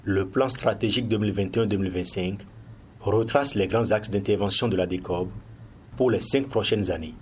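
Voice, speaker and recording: News reporter, male, Beninese accent, lowish register, scripted report delivery over background noise. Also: normal speed, darkish tone.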